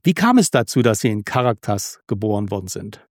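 A man's voice: fairly low pitch, big pitch swings, brisk, no echo, studio quality. A man hosting an interview, reading a scripted introduction and asking polite, formal questions. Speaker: interviewer, host.

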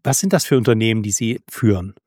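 A man's voice: fairly low pitch, quick pace, darkish tone, no echo. Formal male interviewer, dry sound. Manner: formal, polite. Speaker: interviewer, host. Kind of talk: scripted intro, interview questions.

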